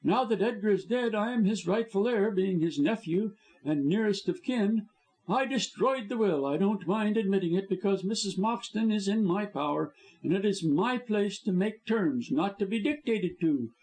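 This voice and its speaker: A man putting on a shrill sneering blackmailer. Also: animated, normal speed, darkish tone, rough recording. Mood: threatening. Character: scheming blackmailing male lawyer, sneering. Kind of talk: audiobook reading.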